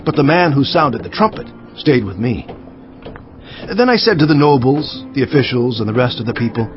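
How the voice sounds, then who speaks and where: An actor playing a resolute biblical governor, narrating scripture in a solemn, emphatic, declamatory manner. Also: male voice, medium pitch, dull audio, close to an everyday voice. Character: resolute, indignant biblical governor recounting his own deeds. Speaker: narrator of dramatized audio Bible.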